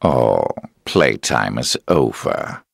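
A super deep gravelly game villain, smug, mocking, talking down to you. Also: male voice, sing-song, very slow, dry recording, studio quality, exaggerated voice. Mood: smug mockery. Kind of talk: video game villain acting.

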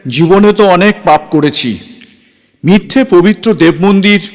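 A man's voice: high-pitched, unhurried. A man playing a dissolute young landlord, theatrically rueful about his sins, in a horror audio drama. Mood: rueful, resigned. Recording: long hall reverb.

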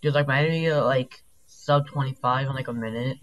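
A young man chatting casually while speedrunning a game, rambling with lots of fillers and quick asides, his voice sounding deep. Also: steady tone.

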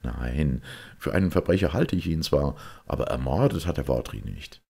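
Man acting an intense, gravelly young secretary. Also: a bass voice, animated, moderate pace, darkish tone, full character voice. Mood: vehement. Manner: urgent, emphatic. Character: young male secretary, bitter, impatient, intense.

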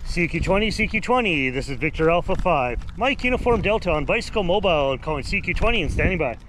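Middle-aged man from Canada, high voice, friendly radio patter, recorded outdoors with clear noise behind. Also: animated. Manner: brisk, friendly call-sign patter. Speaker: ham radio operator. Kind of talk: on-air radio calls while cycling. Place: outdoors on a bicycle, residential street. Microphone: handheld radio mic plus helmet camera.

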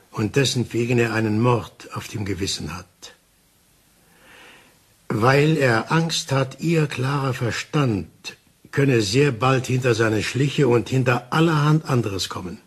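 Husky, deliberate older detective. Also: male voice, medium pitch. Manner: deliberate, dramatic pauses, explanatory. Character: methodical older male detective, calm and insistent. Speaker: actor in a crime radio play. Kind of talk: German crime radio play acting.

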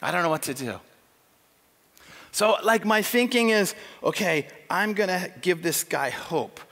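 A middle-aged man preaching on a large church stage, emphatic and animated, acting out quoted lines as he tells a story. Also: high-pitched, unhurried. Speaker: conference speaker. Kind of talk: sermon with storytelling. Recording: long hall reverb.

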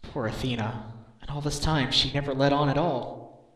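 A man's voice: mid-range voice, moderate pace, fairly bright tone, lightly acted. A man voicing an earnest, caring defense lawyer in a video game fan dub, with an inquisitive manner. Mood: concerned. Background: game music.

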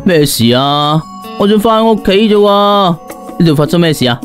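A man's voice: sits high, expressive, normal speed, over music, pitched above the performer's own voice. A man voicing a cheeky, bossy male monster hunter, teasing and assertive, with a Cantonese accent. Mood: feigned innocence.

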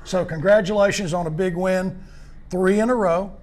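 An older man interviewing high school football players in a clubhouse after a game, upbeat and full of praise. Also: steady tone, normal speed, darkish tone. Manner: upbeat, praising, rambling questions. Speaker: postgame interviewer. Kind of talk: postgame sports interview.

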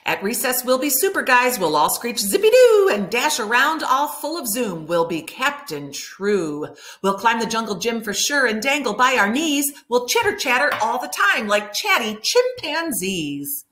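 Bright, lively woman reading to children. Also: a little high, normal speed, few pauses. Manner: animated, enunciated for young children. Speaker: teacher reading aloud to children. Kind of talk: expressive children's picture book read-aloud. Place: room at home by a window, indoors.

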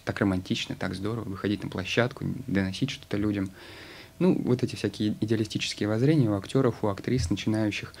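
A man in his thirties talks through his story as a studio interview guest. His voice sits low and mellow, and he pauses often with drawn-out fillers while searching for words. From Russia. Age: 30s. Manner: rambling, many hesitations. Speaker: guest actor. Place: studio with logo backdrop. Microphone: studio mic on stand.

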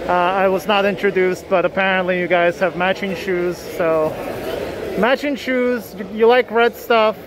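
High-voiced joking man at a crowded toy show. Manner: joking, laughs often, teasing. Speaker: toy collector vlogger. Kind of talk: casual vlog chat. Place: busy indoor toy convention hall. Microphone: handheld camera. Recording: noisy crowded convention floor. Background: crowd chatter.

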